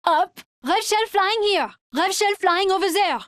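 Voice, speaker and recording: A careful little-voiced game heroine. Extremely high in pitch, she gives unhurried warnings. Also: a bit bright, no room sound. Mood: alert. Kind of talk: video game callouts.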